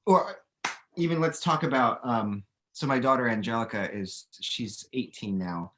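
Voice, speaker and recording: A man's voice: mid-range voice, measured pace, fairly bright tone, a little hiss or hum. A middle-aged man chatting casually as an author answering questions, thinking aloud and often restarting his sentences. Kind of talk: casual chat, explaining to students.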